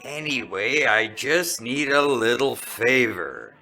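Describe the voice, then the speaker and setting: A man playing a weary, wisecracking, hard-drinking noir private eye in a radio drama, with a deadpan hardboiled delivery. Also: medium pitch, takes it slowly, exaggerated voice. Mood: weary, sly. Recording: roomy echo, music behind.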